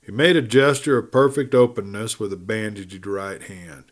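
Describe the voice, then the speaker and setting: A man's voice: on the low side, normal speed. Man narrating a dramatic western story. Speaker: audiobook narrator.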